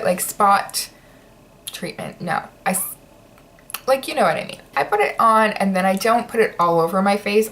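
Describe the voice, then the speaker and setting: A woman vlogger chatting casually through her product favorites, sounding slightly stuffy and nasal, with lots of ums and likes. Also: mid-range voice. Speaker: beauty vlogger.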